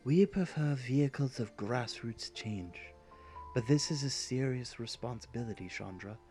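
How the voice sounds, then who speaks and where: A man's voice: medium pitch, animated, a little slow, darkish tone, faint music behind, natural voice. A man playing a cautious, dryly exasperated inventor father in an audio drama. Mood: serious, cautioning.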